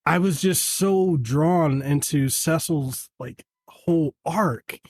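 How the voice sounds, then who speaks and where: A man's voice: on the high side, slow, dry recording, clean studio sound. Samoan-born man telling a story. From Western Samoa. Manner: animated, acts out family dialogue. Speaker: guest. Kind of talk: casual storytelling.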